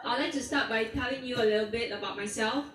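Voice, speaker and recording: A woman's voice: fairly high pitch, fairly bright tone. Earnest woman in her thirties at a rally. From Singapore. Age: 30s. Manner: earnest, measured delivery with rhetorical questions. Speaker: protest speaker. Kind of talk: public speech at an outdoor rally. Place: outdoor park rally. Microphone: PA microphone recorded from the audience. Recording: distant echoey outdoor PA recording.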